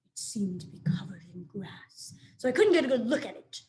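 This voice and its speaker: A woman's voice: fairly high pitch, sing-song, normal speed, a little mellow. A woman voicing an alarmed, suspicious owl who makes dramatic announcements in a children's story. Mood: ominous. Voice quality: screechy, emphatic. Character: alarmed, suspicious owl, hooting leader of a crowd.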